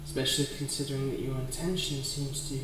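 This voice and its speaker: A man voicing a possessive, seductive demon who speaks in measured, formal, condescending phrases in an ASMR roleplay. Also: mid-range voice, little pitch movement, noisy background, close to an everyday voice. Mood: condescending, probing. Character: possessive, seductive male demon hungry for hearts. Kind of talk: scripted ASMR roleplay addressed to the listener. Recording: very echoey, distant, music behind.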